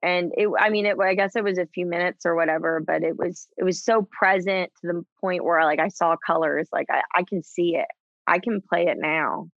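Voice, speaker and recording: A woman in her thirties talking candidly as a guest, halting with many restarts and frequent laughs. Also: lowish voice, steady tone, creaky, dry recording, lo-fi sound. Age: 30s. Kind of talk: candid conversation.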